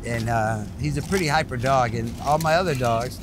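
A man's voice: mid-range voice, moderate pace. Man explaining casually over background noise. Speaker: dog trainer being interviewed. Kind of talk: casual explanation in group chat.

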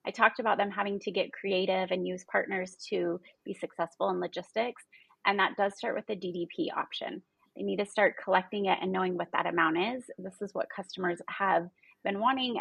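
Interview guest: woman, thirties, businesslike and explanatory, gravelly edge, very little room sound. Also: medium pitch, fairly even intonation, fairly quick, fairly bright tone, no room sound, poor audio. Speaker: interview guest, logistics partnerships executive. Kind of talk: conversational interview answers. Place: indoor room, video call. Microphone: video call, close framing.

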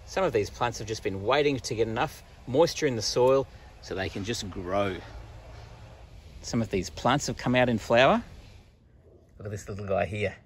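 A man in his forties with an Australian accent and a husky voice, giving a simple explanatory nature talk for children outdoors. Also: fairly low pitch, normal speed, long pauses, a little hiss or hum. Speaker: pastor presenting a children's story. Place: outdoors in bushland.

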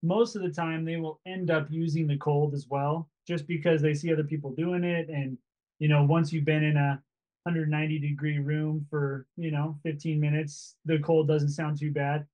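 A man's voice: on the high side, level tone, normal speed, a little mellow, highs cut off. A man who co-owns a sauna business, explaining steadily in a casual video call. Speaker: sauna business co-owner, guest.

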